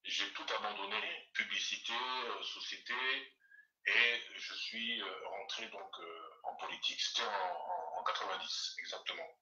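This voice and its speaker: Older man, slow halting phone guest, sharp lowish voice, distant and roomy. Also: steady tone, lo-fi sound. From Congo (DRC). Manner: slow, halting, with frequent fillers. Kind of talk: phone interview. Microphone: phone call.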